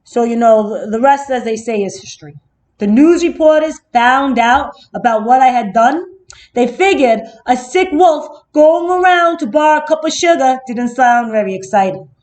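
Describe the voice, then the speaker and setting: A woman's voice: high voice, expressive, normal speed, a little mellow. A woman voicing a self-justifying, slyly innocent male wolf who tells his side of a children's story with chatty, dramatic indignation. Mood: aggrieved, pleading. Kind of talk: first-person children's storybook narration.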